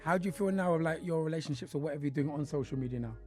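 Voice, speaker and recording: A woman hosting a radio show, eager and coaxing, full of quick yeahs. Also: deep voice. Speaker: radio host. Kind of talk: casual radio interview chat. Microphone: studio radio mic. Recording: radio studio with music playing. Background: clear music from the studio.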